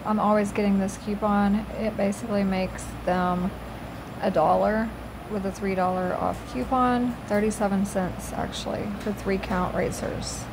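A woman's voice: mid-range voice, noisy background. A middle-aged woman, chatting through a casual voice-over about her weekly shopping. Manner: chatty, slow, bargain-focused, says y'all. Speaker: frugal living vlogger.

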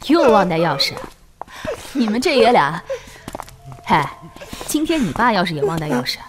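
An actress playing a brisk, teasing older-sister police officer in an audio drama. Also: female voice, medium pitch, big pitch swings, natural voice. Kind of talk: Chinese audio drama acting.

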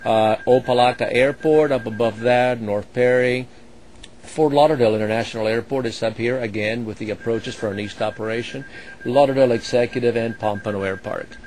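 A man who supervises air traffic controllers and flies, explaining the work in a halting way, with many fillers and restarts. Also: mid-range voice, fairly even intonation, a little mellow, rough recording. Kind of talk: explaining his work to an interviewer.

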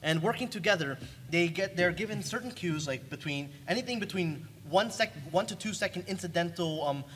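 A man with a slightly high voice speaks hesitantly on a panel, searching for his words. The hall is reverberant, with faint noise in the room. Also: lively intonation, a little fast, few pauses, fairly bright tone. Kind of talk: casual panel talk. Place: large convention hall, panel table.

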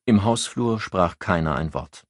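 A man narrating a crime novel as an audiobook, in an expressive scripted reading. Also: low-pitched, measured pace, no echo, clean studio sound.

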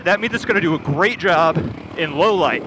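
A man's voice: a little high, normal speed. A man riding a motorcycle, explaining gear features in detail, matter-of-fact, over a helmet intercom. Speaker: video producer reviewing gear. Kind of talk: explanatory casual chat. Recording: intercom audio with wind and engine noise.

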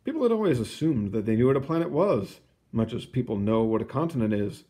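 Animated man reading to children. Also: mid-range voice, fast talker. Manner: expressive, explanatory storytelling. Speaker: picture book reader. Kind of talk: children's read-aloud with casual asides.

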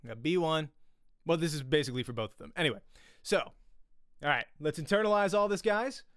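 Animated man teaching. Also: on the high side, brisk, no echo, clean studio sound. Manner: animated, conversational, often checking in with "right?". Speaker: acting teacher and voice actor. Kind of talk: informal online acting lesson. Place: small home room, indoors. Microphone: close desk microphone, headset on.

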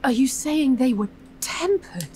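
A woman's voice: high-pitched, expressive, unhurried, mellow, slight background noise. A woman playing a serene, dignified young leader in a fantasy game, speaking with formal composure. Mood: composed concern. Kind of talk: formal scripted game dialogue.

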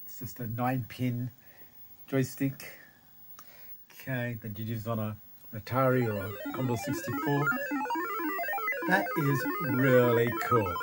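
A middle-aged Australian man giving a casual, unscripted hands-on demo in halting phrases, recorded on a handheld phone indoors. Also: mid-range voice, steady tone, over music. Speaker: retro computer hobbyist presenter. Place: small cluttered room indoors.

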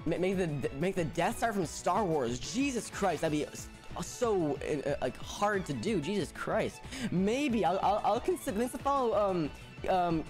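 A young man talks to his chat while gaming, his voice a touch high and very lively, bouncing up and down. He stutters and doubles back on words often. Soft music plays behind him. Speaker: streamer. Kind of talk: casual live-stream chat. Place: home room, indoors. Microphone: headset. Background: music playing.

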